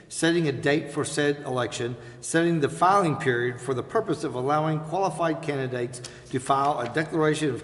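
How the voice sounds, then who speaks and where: A man formally chairing a council meeting, reading out agenda items as the presiding officer. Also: a little high. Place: council chambers. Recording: hall reverb of a meeting room.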